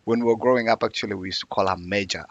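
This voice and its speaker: A man's voice: fairly low pitch, fairly even intonation, very fast, gravelly, no echo, lo-fi sound. A man, telling his life story as an interview guest in a reflective, earnest way. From Uganda.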